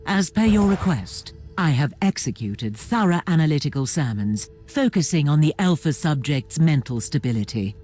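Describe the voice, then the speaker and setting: An actor playing a formal, analytical adviser in a video game, giving precise clinical reports to a commander. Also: male voice, on the high side, big pitch swings, normal speed, few pauses, a little mellow, soft background music. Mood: clinical, measured. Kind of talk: video game cutscene acting.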